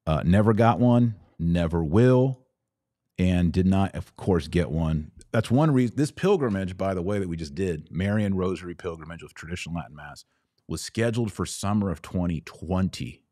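A man's voice: low-pitched, animated, moderate pace, dark tone, no echo, clean studio sound. An American man hosting a casual livestream, chatting informally with playful slang as he answers viewers. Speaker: podcast host.

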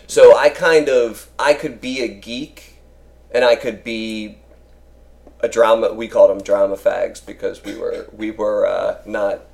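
A deep-voiced man sits for a casual podcast conversation. He rambles, circles back on himself and swears without a second thought. His pitch sits low. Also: fairly even intonation, measured pace, gravelly, darkish tone. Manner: rambling, swears freely, many fillers. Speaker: guest.

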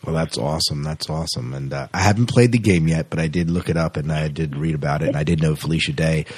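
A man's voice: a bass voice, fast talker, a little mellow, breathy edge. A man hosting a podcast interview in a casual, chatty style.

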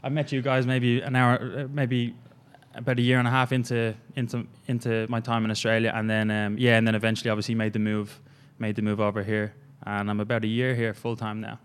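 Halting Irish gym coach, smooth voice. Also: male voice, fairly low pitch, flat delivery. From Ireland. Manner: halting, rambling with many fillers. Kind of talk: casual podcast interview.

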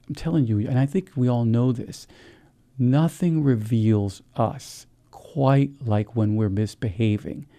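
A man's voice: mid-range voice, mellow. A man from New Jersey, an author answering interview questions in a reflective, casual way, mixing slang with literary phrasing. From New Jersey, USA. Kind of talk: relaxed conversational interview.

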